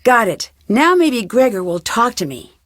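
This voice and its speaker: A woman voicing a curious, determined young female detective in an adventure game, talking to herself in clear, purposeful scripted lines. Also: on the high side, very animated, a little slow, no echo, studio quality. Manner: clear self-talk, inquisitive and purposeful. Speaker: game protagonist.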